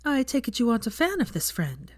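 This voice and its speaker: Woman acting prickly young witch, lively intonation. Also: on the high side, fast talker, pitched above the performer's own voice. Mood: concerned. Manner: snappish, indignant. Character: prickly, indignant young witch, bossy schoolteacher. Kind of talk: acted audiobook dialogue.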